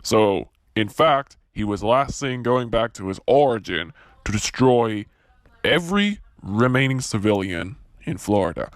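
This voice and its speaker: A man playing an earnest, deliberate hero rallying fellow survivors in an amateur action drama. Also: lowish voice, lively intonation, no room sound, clean studio sound. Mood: grave.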